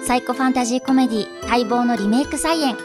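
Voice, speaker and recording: Actress as female announcer, high voice, scripted radio promo over clear jingle music. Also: quick pace, natural voice. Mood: bright promotional.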